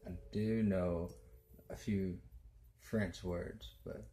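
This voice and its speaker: A man's voice: deep voice, fairly even intonation, extremely slow, vocal fry, heavy background noise. A man from Texas with a Texan accent, chatting casually and joking dryly, with many hesitations. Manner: dry, joking, hesitant with fillers. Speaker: partner of the host. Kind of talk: casual chat.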